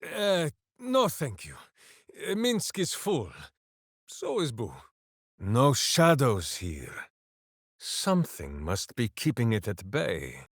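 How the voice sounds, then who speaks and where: A man's voice: medium pitch, huge pitch swings, takes it slowly, no room sound, studio quality, heavily acted. A man voicing a big-hearted, simple-minded heroic warrior in a video game, bombastic and earnest, speaking of himself in the third person. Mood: earnest, puzzled. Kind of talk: video game character voice acting.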